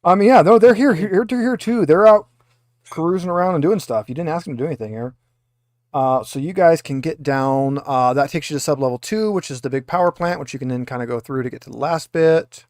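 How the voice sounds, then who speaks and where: A man's voice: fairly high pitch, a little fast, darkish tone, dry recording. Man running a tabletop game, dry close sound. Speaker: game master. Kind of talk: casual narration of a tabletop game session.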